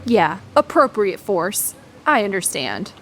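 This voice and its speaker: A woman playing a sharp-witted historical researcher in an audio drama, dry and sarcastic. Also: mid-range voice, sing-song, slow pace, slight background noise.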